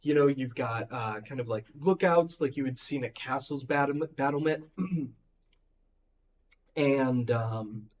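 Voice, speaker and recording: A hoarse man losing his voice, running a tabletop game and narrating with many ums and restarts. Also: mid-range voice. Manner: halting, full of ums and likes. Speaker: game master.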